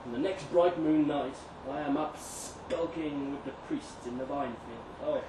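Guy doing a slow dramatic story reading live, kinda high voice, roomy and far from the mic. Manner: expressive, dramatic, with emphatic swells and hushed drops. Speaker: story reader. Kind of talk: theatrical live reading of a comic historical monologue. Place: live reading event in a venue. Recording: echoey live room, distant mic, noisy ambience.

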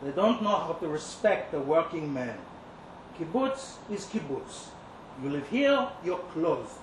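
High-voiced man, a reader in role, smitten and resentful kitchen worker, rambling streetwise monologue, distant from the mic. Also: big pitch swings, unhurried. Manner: colloquial, streetwise, confiding, rambling. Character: cocky, lovesick, resentful male kibbutz kitchen worker. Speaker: story reader. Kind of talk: dramatic monologue read live. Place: indoor live reading event. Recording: roomy, distant live-event recording, faint noise.

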